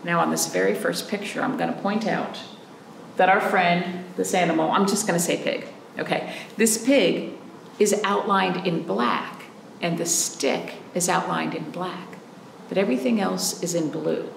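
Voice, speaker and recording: A woman in her thirties, a children's librarian, reading a picture book aloud in an animated, playful way and asking the children questions. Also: on the low side, a little slow, creaky, echoey room, slight background noise. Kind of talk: storytime read-aloud for children. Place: library room in front of a painted wall.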